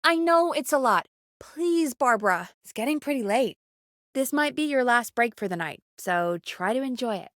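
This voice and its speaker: A woman's voice: fairly high pitch, lively intonation, moderate pace, a bit bright, dry recording, clean studio sound. A woman playing an earnest, urgent radio producer in a video game, conversational and pressing in naturalistic dialogue. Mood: gentle.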